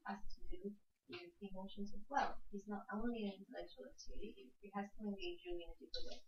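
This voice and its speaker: Fast-talking woman in a noisy, echoey room. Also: medium pitch, muffled recording. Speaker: student in the class. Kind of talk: spontaneous class discussion. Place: indoor classroom.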